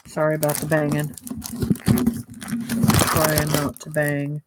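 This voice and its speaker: Slow, rambling crafter thinking aloud. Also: medium pitch, monotone, very noisy. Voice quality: loud voice. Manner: rambling, thinks aloud while working with hands. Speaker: craft livestreamer. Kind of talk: casual crafting livestream, chatting with viewers while working. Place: home craft desk by a computer. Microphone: mic kept off the desk, away from the speaker.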